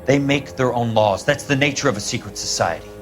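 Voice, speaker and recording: An actor playing a hard-bitten war veteran who talks bluntly and scornfully. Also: male voice, medium pitch, fairly quick, noisy background, close to an everyday voice. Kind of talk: video game cutscene acting. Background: music score.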